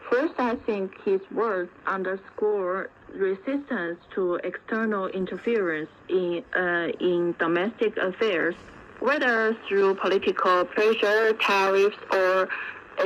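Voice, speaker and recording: A woman speaking as an expert guest, giving measured, formal analysis point by point in an interview over shortwave radio. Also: medium pitch, fairly even intonation. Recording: dull lo-fi shortwave radio with faint noise.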